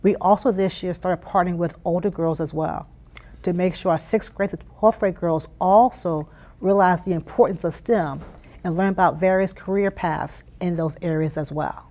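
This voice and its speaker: A woman's voice: on the low side, fairly even intonation, moderate pace, a little mellow. An American woman giving conversational interview answers as a program leader, earnest and emphatic, often repeating phrases for weight. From Philadelphia, United States. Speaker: interview guest, youth STEM program leader.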